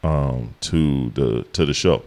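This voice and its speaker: A man co-hosting a podcast, with a deep voice that carries, talking in a relaxed, rambling way with lots of fillers. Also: takes it slowly. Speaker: podcast co-host. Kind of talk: casual chat.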